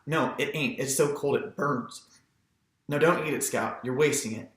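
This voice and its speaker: A man's voice: medium pitch, normal speed, a bit bright, roomy sound, natural voice. A man voicing a confident, bossy young Southern boy in an audiobook, giving instructions and cautions. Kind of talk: audiobook character voice.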